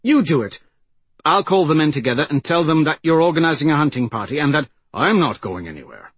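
A man's voice: fairly high pitch, sing-song, brisk, slightly rough, dry recording, voice pushed up, full character voice. A man voicing a fierce, forthright woman in an audiobook, speaking urgently and emphatically. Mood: indignant. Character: fierce, indignant woman leading a rescue.

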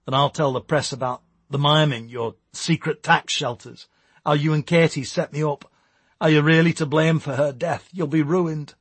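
A man's voice: a little high, steady tone, normal speed, slightly rough, no echo, pitched above the performer's own voice. A man playing a wronged, desperate male former pop star in an audiobook, agitated and defiant. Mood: defiant threatening.

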